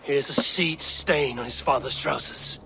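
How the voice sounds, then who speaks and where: A man's voice: medium pitch, normal speed, lightly acted. A man playing a grim, vengeful Viking warrior. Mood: contemptuous. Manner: cold, taunting, terse. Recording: game audio with clear music behind.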